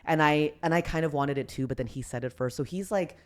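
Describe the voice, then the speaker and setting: A woman with a deep voice, a comedian guest telling a story in casual podcast chat, relaxed and low-key. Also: fast talker, slight background noise. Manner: relaxed, low-key, acts out quotes with raised voice.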